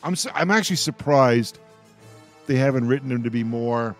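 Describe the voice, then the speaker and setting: A man's voice: mid-range voice, soft background music. Man chatting casually on a radio roundtable. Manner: rambling, halting, often repeats words. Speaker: radio host of a roundtable discussion. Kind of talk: casual fan discussion.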